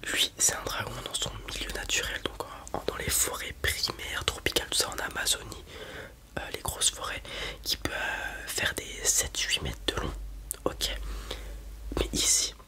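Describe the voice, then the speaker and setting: A man's voice: normal speed, bright, forceful tone. Whispering man, ASMR roleplay. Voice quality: breathy whisper. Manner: casual, colloquial, hesitant explaining. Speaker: ASMR roleplayer playing a dragon breeder.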